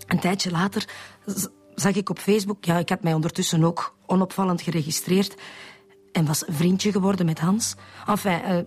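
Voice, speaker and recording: Husky lovesick Flemish woman. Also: fairly low pitch, darkish tone. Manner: halting, repeats words. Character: lovesick betrayed woman confessing to police, hesitant, wistful. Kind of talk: radio drama acting.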